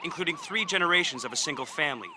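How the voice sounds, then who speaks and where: A man's voice: fairly high pitch, wildly swooping pitch, very fast, crowd noise. An actor playing a male field news reporter at a chaotic scene, with urgent broadcast delivery. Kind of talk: radio drama.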